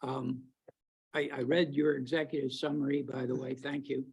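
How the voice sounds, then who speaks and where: A man chairing a township board meeting, friendly and conversational, with some halting restarts. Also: mid-range voice, level tone, creaky, a little mellow, husky.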